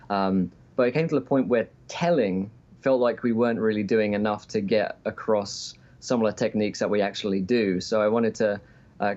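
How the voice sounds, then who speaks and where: A man's voice: fairly low pitch, a little mellow. A man calling in as an interview guest, explaining things in casual conversation over an internet call. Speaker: interview guest, game master. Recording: dull lo-fi call audio.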